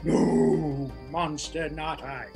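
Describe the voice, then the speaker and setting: A man's voice: on the high side, level tone, extremely slow, gravelly, a little mellow, faint music behind, exaggerated voice. A man playing a drunken, swaggering butler with a blustering, boastful manner in a Shakespearean radio play. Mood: smug swagger. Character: drunken, swaggering butler turned would-be island king.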